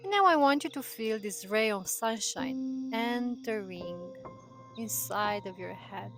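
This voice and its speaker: Woman doing guided meditation, really slow and gentle, long pauses, music clearly playing behind her. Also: mid-range voice, animated. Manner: soothing, gentle, drawn-out phrases with long pauses. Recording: voice over prominent background music.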